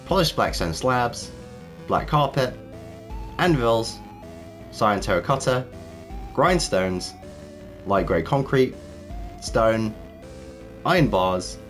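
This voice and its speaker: A man narrating a video tutorial as its host, his voice a little raspy from a cold, with an upbeat presenter's cadence. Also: medium pitch. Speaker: host of a Minecraft build tutorial series. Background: background music.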